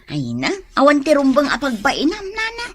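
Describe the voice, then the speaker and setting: A woman's voice: high-pitched, huge pitch swings, moderate pace, a bit bright, exaggerated voice. A woman playing an envious, competitive housewife in a comedic radio drama, scornful and exclamatory, with an Ilocano accent. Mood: boastful, insistent. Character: envious competitive housewife sneering at her showy neighbour.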